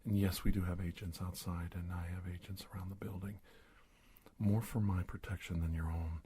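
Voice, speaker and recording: A man playing a cautious, polite government investigator in a soft-spoken ASMR roleplay, speaking haltingly and often dropping to a whisper. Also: sits low, flat delivery, husky. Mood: calm, courteous. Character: cautious, polite government investigator doctor confronting a disguised creature. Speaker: ASMR performer.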